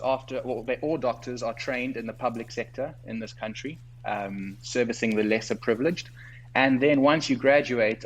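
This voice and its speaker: A man with a South African accent, a guest entrepreneur who explains things in a clear, structured way in a conversational interview, tired late at night. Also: medium pitch, low-quality recording.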